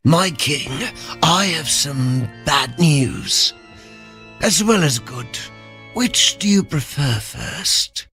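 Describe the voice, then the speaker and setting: Creaky, fawning, scheming royal advisor. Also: male voice, fairly high pitch, lively intonation, sharp tone, full character voice. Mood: nervous, servile. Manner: obsequious, halting, insinuating. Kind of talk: dark fantasy audio drama acting. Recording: music behind.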